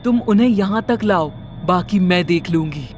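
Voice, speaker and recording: A woman's voice: lowish voice, animated, moderate pace, darkish tone, faint music behind, natural voice. Woman acting a menacing, commanding witch. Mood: sinister, coaxing. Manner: commanding, ominous. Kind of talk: Hindi cartoon horror story acting.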